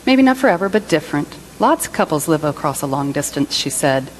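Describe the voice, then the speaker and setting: Female reader as self-pitying abandoned partner in a staged monologue, lower register, hurt and emphatic, noisy live recording. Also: big pitch swings, a little fast. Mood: hurt indignation. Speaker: reader in a church service skit. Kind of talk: dramatic monologue reading.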